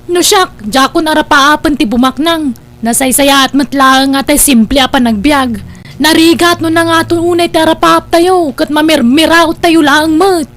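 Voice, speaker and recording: A woman plays a village elder sister with a high voice and an Ilocano accent. She is nagging and skeptical, quick to disapprove, in radio drama dialogue. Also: a bit bright, faint music behind. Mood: defensive.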